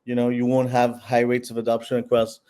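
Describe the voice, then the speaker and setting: Mellow-voiced man explaining at length. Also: medium pitch, monotone, a little fast. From Peru. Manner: explanatory, many fillers and restarts. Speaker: founder of a bitcoin platform. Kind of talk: interview answers.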